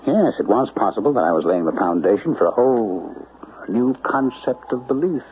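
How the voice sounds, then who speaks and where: A man's voice: fairly low pitch, animated, normal speed, slight background noise, natural voice. An actor playing a brooding male writer of supernatural stories, narrating a 1970s radio drama in an introspective, questioning way. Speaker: narrator and lead character, a writer. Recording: tinny, lo-fi old radio broadcast with music.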